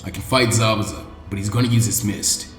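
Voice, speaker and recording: A man voicing a stern, protective adult ninja team leader in a story reading, speaking low with a firm, wry, lecturing manner. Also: steady tone, brisk, faint music behind, natural voice. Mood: resigned, calm.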